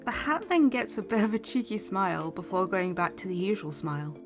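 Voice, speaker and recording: A young woman co-hosting a casual scripted recap commentary, playful and quick to swear. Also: mid-range voice, big pitch swings, music in the background. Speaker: co-host.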